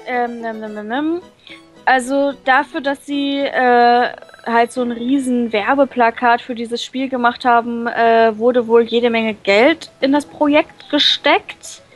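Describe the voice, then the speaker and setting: Slow, hesitant woman. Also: fairly high pitch, fairly even intonation, soft background music. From Germany. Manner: hesitant, many fillers, laughs often. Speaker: reader of a visual novel. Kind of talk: reading aloud and translating, casual chat.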